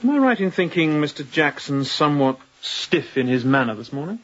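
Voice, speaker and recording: An actor playing a composed, courteous young sea captain in a radio drama, speaking in a measured, polite tone with quiet authority. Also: male voice, a little high, very animated, lo-fi sound. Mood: firm, authoritative. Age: young adult.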